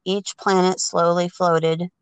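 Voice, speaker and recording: Unhurried woman improvising a story, hesitant. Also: fairly low pitch, little pitch movement, smooth, no room sound. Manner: hesitant, halting, groping for words. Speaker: storyteller on a podcast.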